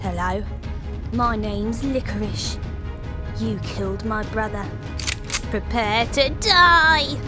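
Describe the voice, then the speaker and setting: Lady doing evil elf assassin, high voice, slow threats, music going behind, radio drama. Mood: vengeful. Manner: deliberate, threatening. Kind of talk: radio play acting.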